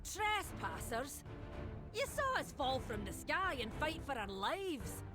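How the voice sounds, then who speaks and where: A woman's voice: high-pitched, very animated, takes it slowly, full character voice. A woman playing an earnest, spirited young adventurer in a video game, with a diplomatic manner. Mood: indignant, pleading. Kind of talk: video game dialogue acting. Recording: game dialogue over music.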